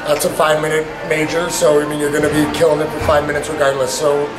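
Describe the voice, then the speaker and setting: A man in his thirties, a hockey head coach, answering post-game media questions in halting, repetitive phrasing. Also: fairly high pitch, completely flat delivery, roomy sound, over music, poor audio. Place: indoors in a small media room before a sponsor backdrop.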